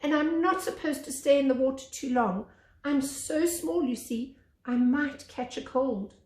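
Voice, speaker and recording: A woman voicing a small, timid, eager-to-please young male piglet in a children's storybook, nervous, apologetic and squeaky. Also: unhurried, darkish tone, echoey room.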